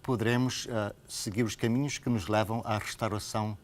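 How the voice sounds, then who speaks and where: A man's voice: fairly low pitch, fairly even intonation, a little fast. A man from Angola with an Angolan Portuguese accent, a pastor teaching about the Bible in conversation, earnest and didactic with emphatic repetition. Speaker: pastor, programme guest.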